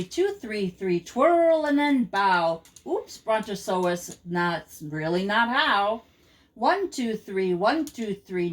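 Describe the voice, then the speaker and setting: A woman voicing an exasperated dinosaur dance director in a storybook poem, counting and correcting the chorus line in rhythm. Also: medium pitch.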